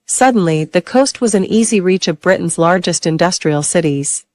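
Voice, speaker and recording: A woman's voice: on the low side, lively intonation, normal speed, a little mellow, no room sound. A woman reading an informational passage aloud as scripted narration. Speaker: exam practice reader.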